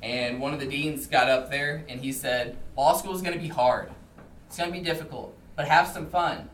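A man's voice: fairly high pitch, steady tone, normal speed, a bit bright. A man giving a public talk, speaking as a presenter to listeners. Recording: echoey distant room recording, faint noise.

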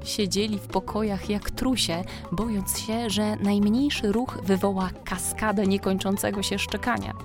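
Female narrator, mid-pitched voice, expressive measured reading, children's audio story, clear music behind.

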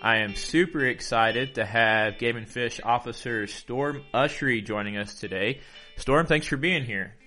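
A man's voice: medium pitch, measured pace, sharp tone, faint music behind. A man hosting a podcast interview in an enthusiastic manner. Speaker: podcast host.

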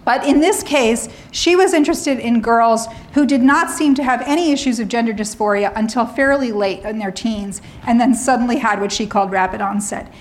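A middle-aged woman lecturing on academic freedom, slightly hoarse, often clearing her throat and adding wry asides. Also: fairly high pitch, moderate pace, few pauses, rough recording.

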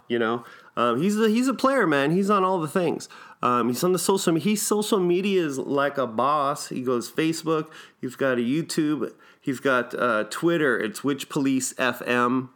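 A man's voice: medium pitch, pushed and bright. A man hosting a podcast in a casual, informal, enthusiastic and slangy way. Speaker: podcast host.

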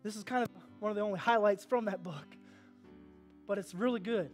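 A pastor in his thirties preaching a sermon, animated, swinging from hushed to loud and calling for responses from the congregation. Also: sits high, a little fast, soft background music. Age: 30s.

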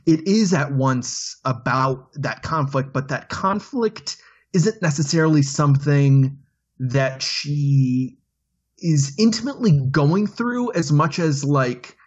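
Husky man thinking aloud haltingly. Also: a little high, muffled recording. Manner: hesitant, many restarts, thinks aloud. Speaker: podcast panelist. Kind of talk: casual film discussion.

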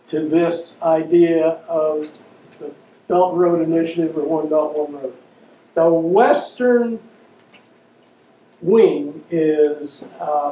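A man giving an unscripted public lecture, pausing often with fillers and restarting his sentences. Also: fairly high pitch, dark tone. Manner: halting, many fillers and restarts. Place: lecture room. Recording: dull, roomy, distant mic with faint noise.

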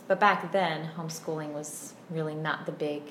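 A woman in her thirties from Virginia, chatting as a podcast guest in a friendly, unhurried way with many ums. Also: low-pitched, fairly even intonation, fairly bright tone. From Virginia, USA. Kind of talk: casual interview chat. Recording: roomy echo, faint background hum.

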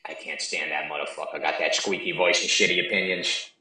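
A man's voice: rumbling low, steady tone, fairly quick, bright, forceful tone, reverberant, heavily acted, low-quality recording. A man doing a foul-mouthed, smug heckler. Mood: crude. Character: crude heckling male caller, insulting and smug.

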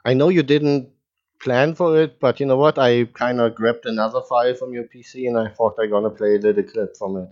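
A man's voice: mid-range voice, steady tone, fairly quick, a little mellow, muffled recording. A man hosting a podcast interview in a casual, chatty style. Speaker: podcast host. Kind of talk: casual chat.

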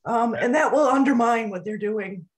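A woman co-hosts an online discussion, her voice failing partway through. She pauses to clear her throat again and again but keeps talking. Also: fairly high pitch. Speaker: co-host. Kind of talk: webinar discussion.

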